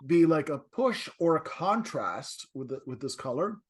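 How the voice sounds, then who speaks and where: A man hosting an interior design talk over a video call, chatty and halting, often restarting his sentences and laughing. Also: a little high, sing-song, slightly rough.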